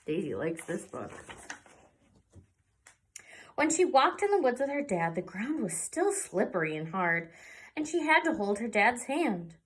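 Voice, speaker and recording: A woman reading a picture book aloud to young children in an expressive storytelling way, pausing for little asides. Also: medium pitch. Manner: slow, animated, pausing for asides. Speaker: children's read-aloud presenter.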